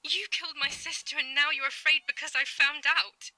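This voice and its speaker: An actress playing an unstable, vengeful young daughter, accusing and commanding in dramatic game dialogue. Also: female voice, high-pitched, steady tone, moderate pace, bright, forceful tone, dry recording, poor audio.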